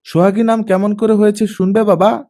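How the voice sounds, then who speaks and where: A man's voice: high-pitched, little pitch movement, fairly quick, mellow, pitched above the performer's own voice. A man voicing a talkative, playful, insistent young girl in an audiobook story. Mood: insistent, eager. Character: chatty, eager young girl teasing her father. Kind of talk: audiobook character reading.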